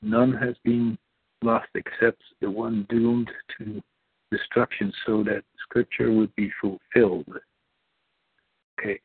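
A man whose first language is not English, a guest on the show who reads aloud slowly and carefully. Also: fairly low pitch, little pitch movement, husky, dry recording. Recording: dry, tinny phone-like line.

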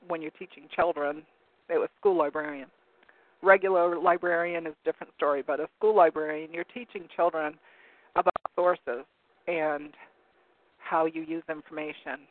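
Hoarse man on a tinny phone line. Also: a little high, no echo. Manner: rambling, searching for words with many ums. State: hoarse, losing his voice. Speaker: call-in talk show host. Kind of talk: casual talk radio commentary, reading from web pages. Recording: narrow phone-like line, dry.

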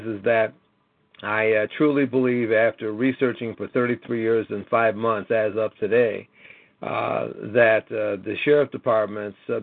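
A man's voice: mid-range voice, gravelly, no room sound. An American man in his late sixties talking on a conference call in a rambling, earnest way, often punctuating points with an emphatic "okay?". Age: late 60s. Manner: emphatic, repeatedly checking with "okay?". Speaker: caller. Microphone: telephone. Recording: narrow, tinny phone line.

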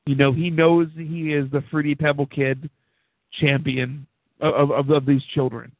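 A man's voice: a little high, little pitch movement, unhurried, gravelly, dry recording. A man co-hosting a wrestling radio show, chatting casually and agreeably and chiming in with short replies. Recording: tinny phone-like sound, dry.

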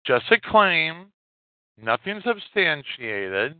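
A male podcast host talks through the news casually and haltingly, taking his time between phrases. His voice is smooth and fairly low, heard through a narrow, phone-like connection. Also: sing-song, dry recording. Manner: halting, hesitant delivery with pauses. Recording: narrow phone-like audio, dry.

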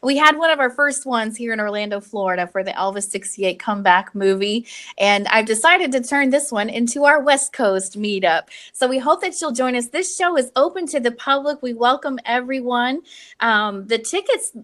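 Higher-voiced woman hosting a podcast. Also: lively intonation, moderate pace, fairly bright tone. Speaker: podcast host. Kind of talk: chatty podcast hosting and interviewing.

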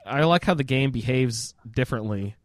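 A man's voice: medium pitch, animated, normal speed, no echo, studio quality. A man hosting a casual roundtable chat about a video game, steering the group with questions. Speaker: podcast host moderating a roundtable.

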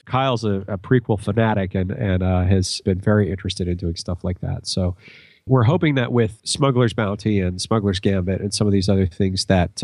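A man's voice: on the low side, a little mellow, no room sound. A man speaking as a podcast guest in casual conversation, explaining things in an earnest way.

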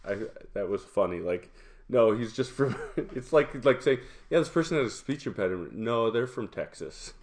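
A man's voice: medium pitch, lively intonation, normal speed. A man with an Upper Midwest accent, co-hosting a casual podcast chat around a table and rambling with many restarts. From Minnesota. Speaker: podcast co-host.

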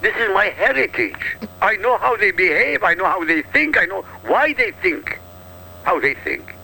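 Emphatic, gravelly man from Iraq. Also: high-pitched, animated, normal speed, pushed and bright, slight background noise, low-quality recording. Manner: emphatic, combative, punchy short sentences. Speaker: radio talk show regular. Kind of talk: heated political talk radio.

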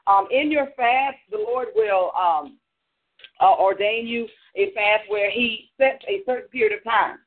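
Congested male teacher, pastor leading a church class, high voice on a tinny phone line, full of "um"s. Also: measured pace. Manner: loose, filler-heavy teaching. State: congested from allergies. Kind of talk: church class lecture with prayer. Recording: narrow phone-line sound on a talk stream.

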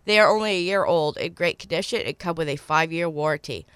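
A woman with a cold hosting a call-in swap show on the radio, reading listings and repeating phone numbers slowly and clearly. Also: deep voice, fairly bright tone, no room sound. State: stuffy from a cold.

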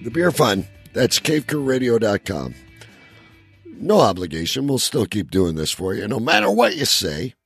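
A man hosting a casual comedy podcast with a gravelly voice, chatting and plugging shows, stretching words like an announcer for emphasis. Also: mid-range voice, huge pitch swings, normal speed, faint music behind. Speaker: podcast host.

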